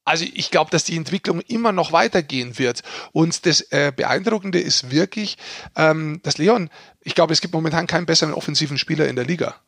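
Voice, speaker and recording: Man, slightly high creaky voice, dry close sound, casual sports podcast, emphatic explainer. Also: a little fast, fairly bright tone, no echo. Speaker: podcast co-host and ice hockey pundit.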